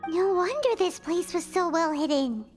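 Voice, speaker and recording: Game fairy companion: extremely high, childlike, animated, over clear music. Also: female voice, moderate pace. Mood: puzzled. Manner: speaks of self in third person, childish. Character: tiny childlike floating fairy companion, excitable, cautious. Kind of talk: video game character acting. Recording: music playing behind the voice.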